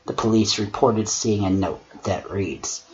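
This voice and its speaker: Solemn male horror-story servant, put on by a man, low and slow, muffled noisy recording. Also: steady tone, close to an everyday voice. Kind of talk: dramatic horror reading and measured critique. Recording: muffled lo-fi mic with clear noise.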